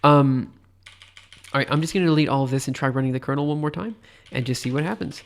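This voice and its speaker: A man's voice: mid-range voice, quick pace. A tired man with a stuffy nose thinks aloud while live coding on a stream, rambling hesitantly and poking fun at himself. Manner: rambling, hesitant, self-deprecating.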